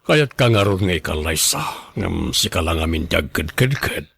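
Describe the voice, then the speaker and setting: A man's voice: sits low, expressive, normal speed, creaky, a bit bright, slightly rough, no echo, full character voice. A man acting an earnest young father who pleads with his former partner in an Ilocano radio drama. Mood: hurt, pleading.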